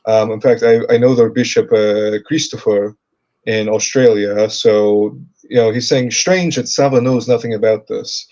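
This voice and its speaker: A man co-hosting a live call-in stream, answering chat comments. His voice is dark and mellow, and his speech halts often on fillers and restarts. The stream audio sounds slightly dull. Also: lowish voice, steady tone, moderate pace. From United States. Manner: hesitant, many fillers and restarts. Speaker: co-host. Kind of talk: livestream Q&A chat.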